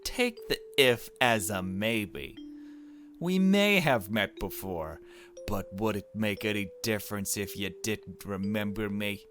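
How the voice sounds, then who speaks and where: A man voicing a calm, cryptic, mysterious visitor with slow, measured speech in a visual novel. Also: fairly low pitch, faint music behind.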